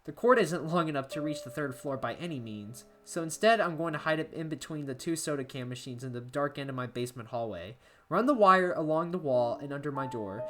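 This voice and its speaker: A woman playing an anxious, isolated diarist in a horror story, reading in a halting, emotive way with music behind her. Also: very deep voice, pitched below the performer's own voice. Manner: halting, emotive, with stammered repeats. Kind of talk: dramatic first-person horror story narration. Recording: background music under voice.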